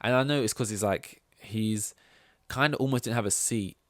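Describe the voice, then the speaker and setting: A man's voice: on the low side, moderate pace, no echo, studio quality. A man hosting a podcast, giving casual, opinionated sports rankings in a rambling, sweary and sarcastic way. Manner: rambling, sweary, sarcastic, acts out mock quotes. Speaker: podcast host.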